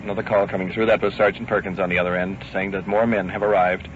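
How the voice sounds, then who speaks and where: A man's voice: low voice, flat delivery, fast, phone-quality sound, noisy background. A man reporting live as a radio host, narrating off the cuff from a police ride-along on an old field recording. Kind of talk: unscripted on-scene radio narration. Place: outdoors and in a police car. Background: vehicles and police radio.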